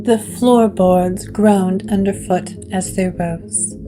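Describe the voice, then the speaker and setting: A woman narrating a gothic audio drama from a script, in a deliberate storytelling manner. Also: mid-range voice, steady tone, rough recording. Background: music.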